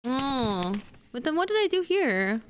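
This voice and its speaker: Young woman streamer from Indonesia, rambling and playful, a little high-pitched. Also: big pitch swings, measured pace, smooth. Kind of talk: casual live-stream chat while building a model kit.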